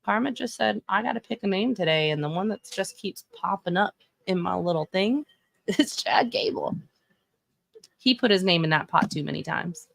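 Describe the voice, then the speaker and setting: A woman's voice: mid-range voice, lively intonation, normal speed, creaky, a bit bright, no room sound. A woman in her thirties with a Texan accent, giving chatty, opinionated live-stream commentary and often correcting herself. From Texas. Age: 30s. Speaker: streamer reviewing wrestling fashion.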